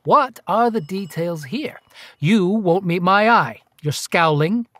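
A self-righteous male detective in a radio drama. His voice sits on the high side and is recorded dry. He asks clipped, insinuating questions and keeps needling. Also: lively intonation, takes it slowly, fairly bright tone, no echo, full character voice. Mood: needling, accusing. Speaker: actor in a radio play.

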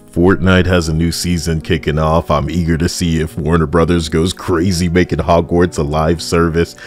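Man, low-pitched voice, sardonic gaming commentary, rambling casual delivery, background music. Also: a little mellow. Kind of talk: casual scripted video game commentary. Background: music behind the voice.

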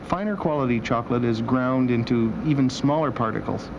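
A man's voice: medium pitch, a little fast. Man narrating wryly, dull noisy recording. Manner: wry, deadpan. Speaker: host and narrator. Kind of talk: scripted documentary narration with dry humor. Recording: dull old video soundtrack with machinery noise.

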